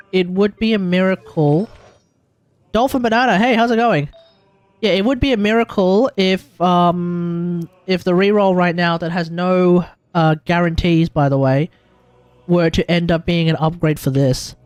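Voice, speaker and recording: An Australian man with a notably high voice talks casually to a livestream. He is blunt and slangy, rambling through his thoughts and leaning hard on his points. The sound is close and dry, with music playing underneath. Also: a little slow, dry recording. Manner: blunt, rambling, emphatic, slangy, thinking aloud. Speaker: livestreamer, gaming content creator. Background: music in the background.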